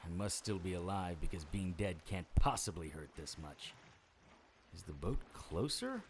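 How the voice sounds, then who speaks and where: A man's voice: low-pitched, sing-song, moderate pace, a little hiss or hum. A man playing a battered, wry supernatural investigator in an audio drama, weary and dramatic. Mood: weary, dazed. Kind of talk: audio drama acting with first-person monologue.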